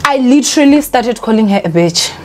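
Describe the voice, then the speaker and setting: Young woman, high voice, very lively pitch, emphatic storytime vlogger. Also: a little fast, slight background noise. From South Africa. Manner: animated and emphatic, talks to viewers as "guys" and "honey". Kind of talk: storytime vlog.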